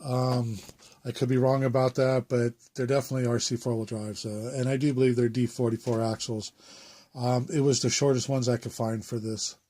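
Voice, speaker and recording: A man with a cold and a phlegmy throat, talking through a hobby build update in a halting, unscripted way with many fillers. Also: mid-range voice, little pitch movement, a little mellow. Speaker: RC hobbyist showing his build.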